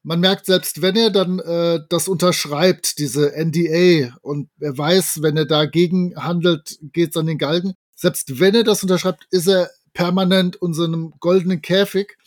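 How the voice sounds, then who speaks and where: Male podcast co-host, high register, enthusiastic and casual discussion style. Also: fairly even intonation, normal speed, few pauses. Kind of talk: casual discussion and retelling of an audio drama.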